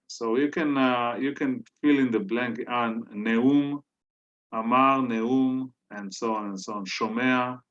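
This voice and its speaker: A man's voice: fairly low pitch, fairly bright tone. A man whose first language is Hebrew, giving an informal lecture with many fillers and restarts. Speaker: guest lecturer. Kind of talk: informal lecture on biblical archaeology. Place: synagogue hall. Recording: dull lo-fi sound.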